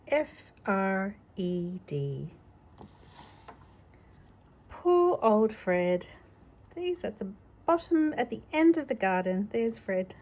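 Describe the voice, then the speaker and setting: A woman reads a picture book aloud at a very unhurried pace, in a mid-pitched voice. She is gentle and conversational, drifting between the text and her own informal asides to a child. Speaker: storybook reader.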